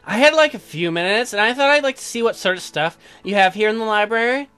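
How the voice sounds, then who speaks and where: A man's voice: high-pitched, fast talker, pushed and bright, clear, resonant tone, soft background music, pitched above the performer's own voice. A man voicing a perky young colonist wife in a visual novel, chatty and curious.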